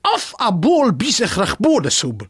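A woman playing a sarcastic, resentful servant who scolds a fallen king in a theatrical comic drama, with a husky voice. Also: medium pitch, wildly swooping pitch, a little slow, dry recording, studio quality, full character voice. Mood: scornful, scolding.

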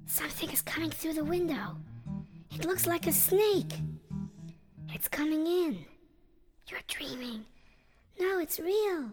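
A woman voicing excitable, teasing young boys at a summer camp in a children's audio drama. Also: very high voice, a little slow, pauses often, music in the background. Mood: panicked.

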